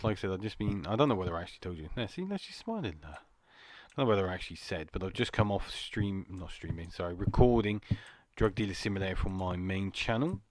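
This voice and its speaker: A man with a slightly croaky voice, rambling and jumpy as he comments on a horror game. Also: fairly low pitch, dry recording. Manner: rambling, jumpy, muttering to himself. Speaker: gaming channel host. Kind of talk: casual horror gameplay commentary.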